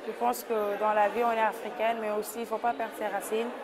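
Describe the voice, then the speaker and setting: A woman's voice: a little high, level tone, fairly quick. A woman speaking insistently in an interview. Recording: crowd chatter behind.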